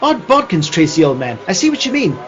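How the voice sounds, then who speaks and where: A man playing a fussy, theatrical, eccentric old-fashioned gentleman in a radio play, with affected, exclamatory speech. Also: high voice, sing-song, a little fast, muffled recording, soft background music. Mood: fussy, amazed.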